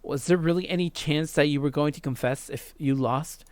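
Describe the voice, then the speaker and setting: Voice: medium pitch, moderate pace, darkish tone, smooth, no echo, pitched below the performer's own voice, natural voice. A let's player voicing a desperate, accusing visual novel student, urgent and pleading. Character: desperate, accusing schoolkid Megatron, indignant and confused.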